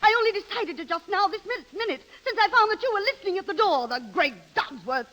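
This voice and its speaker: A high-pitched married woman from a vintage 1930s radio play. She is restless, romantic and defiant. Her delivery is theatrical and full of feeling. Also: lively intonation, fast talker, sharp tone, full character voice. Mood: defiant, angry.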